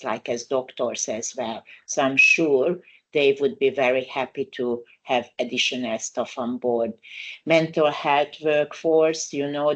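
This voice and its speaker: Older Hungarian-accented woman presenting. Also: very deep voice. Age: 60s. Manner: unhurried, frequent "uh" fillers, non-native grammar. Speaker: migration lawyer presenting a webinar. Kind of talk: webinar presentation.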